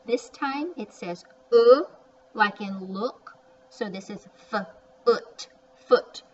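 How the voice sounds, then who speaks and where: A woman leads an early phonics lesson at a very unhurried pace, breaking words into sounds and saying them again. She adds chatty little comments as she goes. The recording is muffled and a little distant, with faint noise behind her voice. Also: on the high side, a little mellow. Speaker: early reading teacher. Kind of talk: word-by-word phonics lesson for young children.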